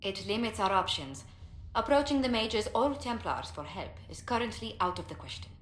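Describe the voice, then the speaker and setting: An actress playing a poised, tactful advisor in a fantasy video game, speaking politely and with measured diplomacy. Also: female voice, medium pitch, expressive, a bit bright, close to an everyday voice. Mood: concerned, explanatory. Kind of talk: video game cutscene acting. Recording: roomy echo, noisy ambience.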